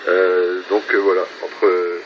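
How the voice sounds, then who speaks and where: A man with a fairly low voice, chatting over amateur radio. He is enthusiastic and doubles up words for emphasis. The sound is dull, with clear noise behind him. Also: little pitch movement, unhurried. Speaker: amateur radio operator filming his station. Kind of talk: casual radio contact chat. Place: at home beside radio set. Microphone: phone filming, off the mouth. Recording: dull, very noisy phone video.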